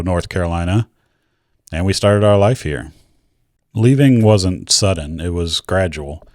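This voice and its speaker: A man's voice: deep voice, a little mellow, no room sound, studio quality. A middle-aged American man narrating his own personal story to viewers in a candid, reflective way. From Georgia, United States. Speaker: video creator telling his own story.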